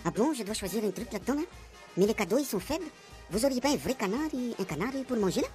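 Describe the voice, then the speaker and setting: A simple, literal-minded fellow, speaking in a slightly raised voice, as a woman puts him on. He talks briskly and never stops sounding matter-of-fact. It is comic radio-serial acting. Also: a little high, lively intonation, a little mellow, faint music behind, voice pushed up, natural voice. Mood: bargaining. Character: naive, hungry grown man, good-natured and literal.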